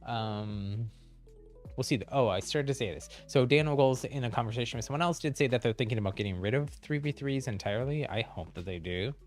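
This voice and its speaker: A man's voice: medium pitch, a little fast, soft background music. A man doing casual live gameplay commentary as a streamer, chatty and hesitant as he thinks aloud. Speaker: game streamer.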